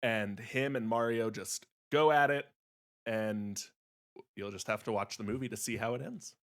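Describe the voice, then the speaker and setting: A man on a podcast panel giving a casual improvised pitch, often pausing as he searches for words. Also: a bit bright. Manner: halting, pausing to find words.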